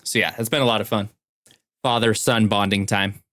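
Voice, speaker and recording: Male co-host for casual podcast chat, slightly deep voice, close and dry. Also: steady tone, normal speed, fairly bright tone, dry recording.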